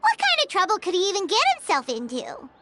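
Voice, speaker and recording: Tiny squeaky game sidekick, chirpy, super swoopy, loud noise behind. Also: female voice, huge pitch swings, fast talker, exaggerated voice. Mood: curious, puzzled. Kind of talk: video game voice acting.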